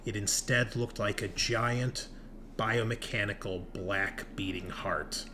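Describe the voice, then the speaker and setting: A man with a fairly low voice recaps a horror roleplaying session as game master. He tells it dramatically, leaning on key words for emphasis. There is some noise and ambience behind him. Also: steady tone, takes it slowly. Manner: dramatic storytelling with emphatic stresses. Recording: noticeable background noise.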